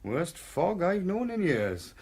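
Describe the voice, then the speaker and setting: An actor playing a chatty Welsh police sergeant in a radio play, folksy and rambling. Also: male voice, sits high, big pitch swings, slow pace, gravelly, darkish tone, slightly rough, exaggerated voice. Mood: chatty, obliging. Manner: folksy, rambling, deferential to his superior. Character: Welsh police sergeant, chatty, poetry-quoting, stubbornly suspicious.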